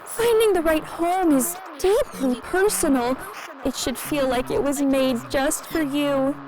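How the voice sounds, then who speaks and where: An actress playing an eerily cheerful, inhuman realtor in an audio drama, answering with polite, evasive sales patter. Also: female voice, sits high, unhurried, crowd noise. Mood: pleasant, insistent. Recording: noisy interview recording with ambience.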